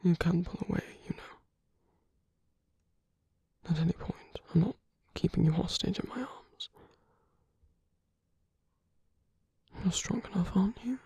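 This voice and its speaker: Casting: male actor as flirtatious villain for romantic ASMR audio drama; very breathy, mellow, gently teasing, close and intimate. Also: high voice, moderate pace, long pauses, no room sound, dull audio, heavily acted. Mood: coaxing, teasing. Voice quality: breathy, soft, half-whispered. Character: suave flirtatious male villain wooing a hero at a masquerade. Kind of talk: ASMR roleplay, intimate scripted monologue.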